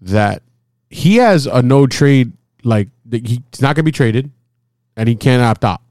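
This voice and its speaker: A man in his forties hosting a casual baseball chat, excitable and often repeating himself. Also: mid-range voice, unhurried, no room sound, clean studio sound. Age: 40s. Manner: excitable, repeats himself, stumbles over words. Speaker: host. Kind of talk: casual sports talk.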